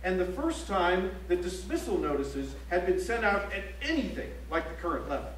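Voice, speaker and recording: Formal newsman for a one-act stage play, acted by a man: high register, measured declarative delivery, roomy echoing sound. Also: big pitch swings. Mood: matter-of-fact. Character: male news reporter reciting strike reports in measured tones. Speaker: stage actor. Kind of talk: theatrical recitation of news reports. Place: library auditorium stage, live play. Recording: echoey auditorium from far off, faint hum.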